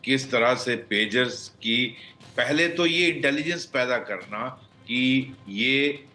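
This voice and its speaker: Male expert guest, middling pitch, tense bright tone, halting phrasing, English mixed in. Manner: halting, searches for words, mixes in English phrases. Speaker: expert guest, former senior police officer. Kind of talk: unscripted news panel analysis.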